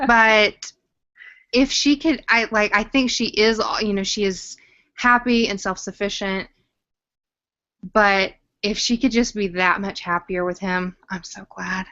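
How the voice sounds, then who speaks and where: Young female co-host, casual recap chat, bright forward voice, gushing and jokey, dull low-fidelity audio. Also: steady tone, a little slow. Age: young adult. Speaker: podcast co-host. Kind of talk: casual chat discussing a TV drama.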